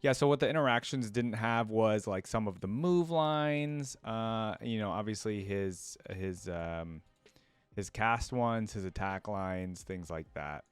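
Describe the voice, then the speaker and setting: A man hosting a reaction video, commenting casually and musing out loud as he goes. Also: fairly low pitch, measured pace, smooth, no echo. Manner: musing, often repeats quoted lines back.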